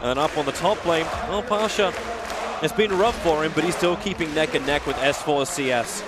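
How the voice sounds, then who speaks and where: A man with an Australian accent giving excitable play-by-play commentary on an esports match as caster. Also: moderate pace, heavy background noise. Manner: excitable, rising pitch in big moments.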